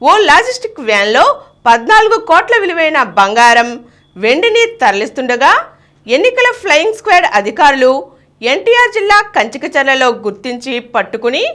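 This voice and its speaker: A woman's voice: sits high, fairly bright tone. A woman reading a scripted news bulletin. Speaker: news reader.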